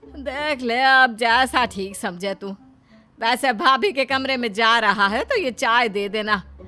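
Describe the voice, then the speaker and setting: A woman's voice: a little high, takes it slowly, bright, forceful tone, faint music behind. A woman voicing a patient, resigned old mother-in-law in a cartoon story, mild in manner.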